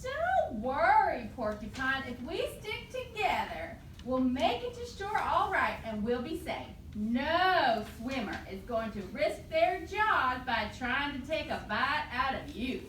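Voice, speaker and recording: A woman voicing a cheerful, reassuring small animal traveler with an eager, excitable manner in a live puppet show. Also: sits high, heavily acted. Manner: excitable, eager, drawn-out delivery. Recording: distant roomy live recording, faint noise.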